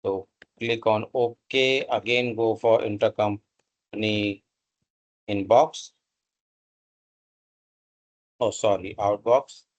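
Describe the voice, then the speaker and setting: Slow-talking man giving a software tutorial. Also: lowish voice, steady tone, dull audio. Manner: halting, instructional, pausing between short phrases. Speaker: software instructor. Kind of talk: step-by-step screen tutorial narration.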